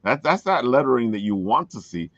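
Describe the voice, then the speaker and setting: A man hosting a casual chat show in an excitable, folksy way, addressing listeners directly. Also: medium pitch, brisk, no echo. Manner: excitable, talks to listeners as folks, laughs often. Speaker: podcast host. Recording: dull lo-fi call audio.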